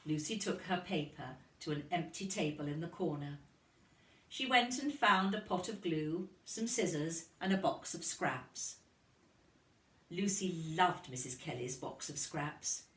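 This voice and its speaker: A woman tells a children's story slowly and deliberately. Her intonation is lively and expressive. She sounds a little away from the microphone in an echoey room. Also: lowish voice. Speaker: kindergarten teacher reading aloud. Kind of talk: storybook narration for young children. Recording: roomy, distant microphone.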